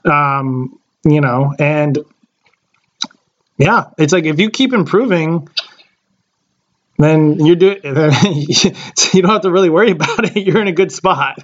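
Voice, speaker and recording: A man's voice: fairly high pitch, takes it slowly, pauses often, dull audio. A man in his late twenties, a podcast co-host chatting casually and rambling with many fillers and restarts. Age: late 20s. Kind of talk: casual chat.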